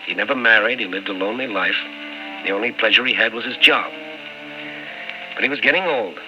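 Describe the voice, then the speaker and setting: Wry, world-weary male radio-drama narrator played by an actor, skeptical, slightly deep, muffled vintage recording. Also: fairly quick, close to an everyday voice. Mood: wry narrating. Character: wry, skeptical male night-beat storyteller. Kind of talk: old-time radio drama, first-person narration. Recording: dull old radio recording with music.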